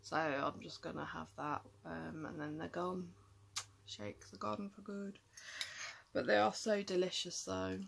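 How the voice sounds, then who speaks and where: A woman's voice: on the low side, flat delivery, unhurried, creaky, breathy edge. A tired woman chatting casually to camera in a rambling vlog, with lots of ums and trailing thoughts. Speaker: vlogger.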